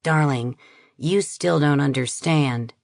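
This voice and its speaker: A man's voice: a little high, takes it slowly, gravelly, no echo, studio quality. A woman voicing a stiff, prejudiced middle-aged husband who talks in a stubborn, evasive way.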